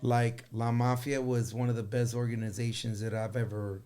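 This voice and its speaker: A male musician speaking as a guest in a casual conversation. His voice sits at an average pitch, and he makes his points in an earnest, emphatic way. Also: little pitch movement, normal speed, mellow, smooth. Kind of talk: casual chat.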